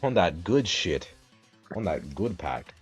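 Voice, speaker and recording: A man's voice: deep voice, slow, husky. A man hosting a gaming stream, arguing tier picks in a casual, profane, heated way. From Dominican Republic. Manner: animated, profane, argumentative ranting. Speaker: streamer host. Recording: slightly dull stream audio with music.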